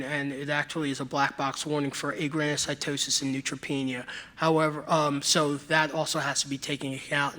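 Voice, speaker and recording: A man giving a conference presentation on treatment in a measured, clinical way, with a few fillers. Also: fairly high pitch, bright, forceful tone. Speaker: speaker on treatment.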